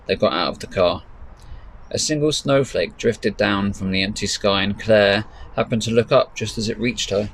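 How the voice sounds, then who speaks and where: A man reading his own story as dramatic narration, with measured, deliberate pauses. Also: fairly low pitch, rough recording. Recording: faint background noise.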